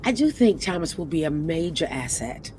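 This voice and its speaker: An actress playing a guarded, exasperated female theatre producer in a modern audio drama, speaking in a measured, formal, emphatic way. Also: low-pitched, very animated, dark tone, slight background noise. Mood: guarded, defensive.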